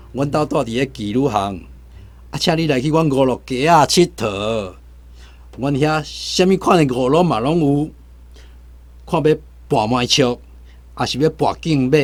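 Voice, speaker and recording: A man's voice: mid-range voice, lightly acted. A man with a Taiwanese accent playing a coaxing, commanding tempter and vice-street master in a radio drama. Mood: enticing. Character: tempting gambling-den tout and domineering dark master. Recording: roomy echo, music behind.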